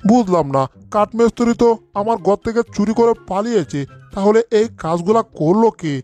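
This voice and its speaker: A man's voice: high-pitched, a little fast, mellow, faint music behind, close to an everyday voice. A man voicing a grumbling, easily spooked bulldog in a cartoon dub, exclaiming in bewilderment. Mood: puzzled.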